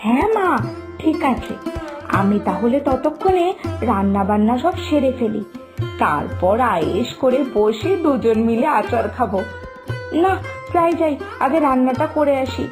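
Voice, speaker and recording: A woman playing a caring, fretful young daughter-in-law in a cartoon, with exaggerated, expressive delivery. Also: on the high side, measured pace, over music. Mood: cheerful, agreeable. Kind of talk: scripted cartoon dialogue.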